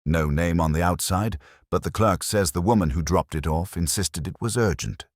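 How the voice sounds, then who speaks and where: A man voicing a brisk, deferential policewoman with urgent news to report, in a scripted audio drama. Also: low voice, dry recording, clean studio sound. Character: breathless young policewoman delivering urgent news.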